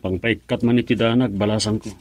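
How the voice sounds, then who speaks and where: A man's voice: on the low side, fairly even intonation, fairly quick, a little mellow, highs cut off, a little hiss or hum, lightly acted. A man acting a worried, brooding middle-aged father in an Ilocano radio drama. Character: worried middle-aged father drinking away his anxiety.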